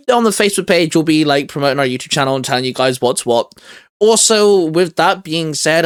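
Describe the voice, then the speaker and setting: A man hosting a geek channel, chatting and making announcements in an informal, mildly sweary way. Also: on the high side. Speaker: host of a geek YouTube channel.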